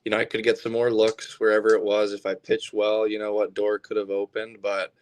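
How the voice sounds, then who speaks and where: A young man with a fairly low voice. He talks like an athlete in a relaxed interview, candid and reflective, often checking in with small conversational tags. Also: fairly quick, rough recording. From southern Alberta, Canada. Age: 20s. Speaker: baseball pitcher being interviewed. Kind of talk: casual interview chat.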